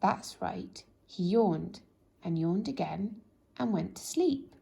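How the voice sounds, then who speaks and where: Creaky-voiced woman reading a bedtime story. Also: medium pitch, animated, takes it slowly, warm tone. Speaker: storybook reader. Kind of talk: expressive children's bedtime story narration.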